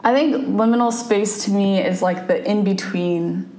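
A woman's voice: medium pitch, measured pace, mellow. A young adult woman from Japan, speaking as a ministry guest in a conversational interview, thoughtful and full of fillers and restarts. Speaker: campus ministry staff, guest. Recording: roomy echo, faint background noise.

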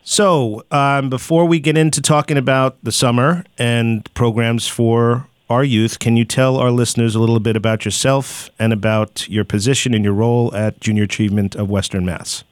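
A man's voice: medium pitch, fairly even intonation, clean tone, no echo, studio quality. A man hosting a radio interview, talking with many hesitations and "uh" fillers. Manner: hesitant, fills pauses with "uh". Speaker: radio host.

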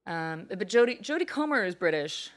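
A woman's voice: mid-range voice, very animated, normal speed. A woman co-hosting a casual chat show, talkative, swearing now and then and laughing easily.

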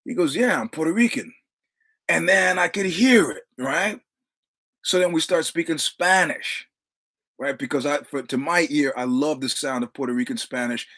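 A man's voice: fairly high pitch, normal speed, a bit bright. A man in his sixties from New York City with a New York accent, telling rambling, profane anecdotes with quoted dialogue as a guest in casual conversation. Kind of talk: casual storytelling.

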